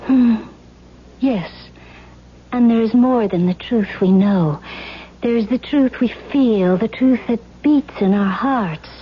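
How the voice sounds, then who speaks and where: Seductive temptress, unhurried, theatrical yearning, vintage radio drama, dull hissy recording. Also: female voice, on the high side. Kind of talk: old-time radio drama acting.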